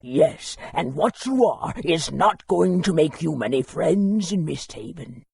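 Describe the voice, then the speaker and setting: A bookish fantasy-game historian with a very husky, creaky voice set fairly high. He is courteous and scholarly, choosing his words with measured care while his melody moves up and down. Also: animated, a little mellow, no echo, exaggerated voice.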